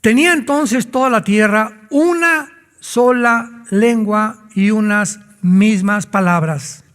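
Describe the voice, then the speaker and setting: A man's voice: sits high, slow pace, a bit bright, in a large hall. A man preaching a sermon as a pastor, emphatic and full of rhetorical questions.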